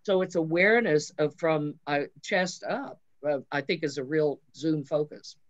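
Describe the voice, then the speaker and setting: A woman's voice: sits low, measured pace, lo-fi sound. A woman theatre director and drama teacher explaining her craft in an interview, instructive and emphatic.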